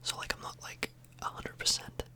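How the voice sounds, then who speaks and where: Whispering woman, casual ASMR ramble. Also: normal speed. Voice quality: breathy, unvoiced whisper. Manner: informal, hesitant, meandering. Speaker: ASMR video host. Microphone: close microphone.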